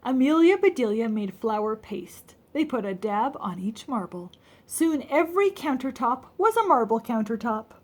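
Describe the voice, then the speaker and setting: A woman narrates a children's storybook with a high, very expressive voice that rises and falls freely. There is a slightly husky edge to it, and her manner is teacherly and explanatory. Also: normal speed. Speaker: storybook reader.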